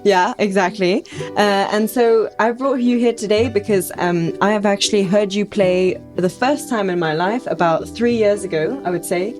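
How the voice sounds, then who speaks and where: A woman's voice: mid-range voice, moderate pace, darkish tone, faint music behind. A woman hosting a podcast in casual, bubbly chat, laughing often. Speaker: podcast host.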